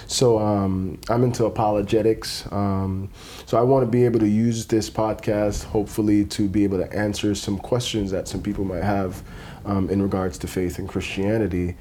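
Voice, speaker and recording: A man from Jamaica with a Jamaican accent softened toward American, a podcast guest talking casually with lots of ums and easy laughter. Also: lowish voice, level tone, normal speed, slight background noise. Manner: hesitant, many ums, laughs easily. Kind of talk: casual conversation.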